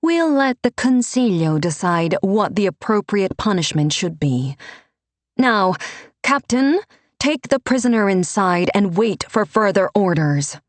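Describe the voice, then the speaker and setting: A woman's voice: medium pitch, expressive, a little slow, no echo, voice pushed up, natural voice. A woman voicing a cold, commanding noblewoman with a clipped, stern delivery.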